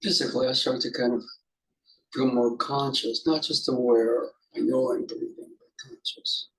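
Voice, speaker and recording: A man leading an unscripted guided meditation as a teacher, musing haltingly in short fragments with pauses. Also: mid-range voice, fairly even intonation, low-quality recording.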